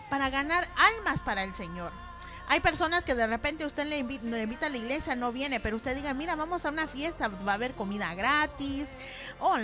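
High-voiced woman co-hosting church radio. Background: music.

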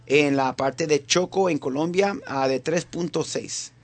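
A man with a Venezuelan accent hosting a live morning radio show, talking in a somewhat halting way. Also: fairly high pitch. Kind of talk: live morning show talk with news report. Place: radio studio.

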